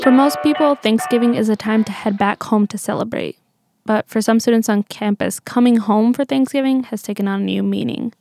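A woman's voice: fairly high pitch, a little fast, gravelly, a little mellow. A woman hosting a student podcast, reading the show intro in an upbeat announcer-like way. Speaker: podcast host. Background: music.

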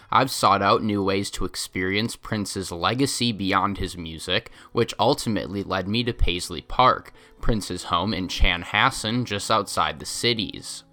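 A male student reporter reading a scripted news feature in an even, read-aloud delivery. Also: on the low side.

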